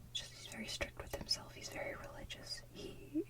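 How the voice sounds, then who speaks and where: A woman hosting ASMR, chatting casually in a soft whisper like an enthusiastic fan who rambles as she goes. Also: distant mic. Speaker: ASMR video host.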